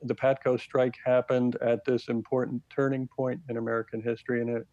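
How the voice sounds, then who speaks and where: Measured academic man, dry and slightly dull sound. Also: mid-range voice, flat delivery, a little mellow, no room sound. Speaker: professor, interview guest. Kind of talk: explanatory interview commentary on history.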